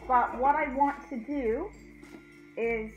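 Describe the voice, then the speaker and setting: Chatty high-voiced woman in an echoey room. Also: slow, muffled recording. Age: middle-aged. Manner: chatty, informal, addresses viewers directly. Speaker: host of a craft channel. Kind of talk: casual DIY tutorial chat. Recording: roomy echo, faint music.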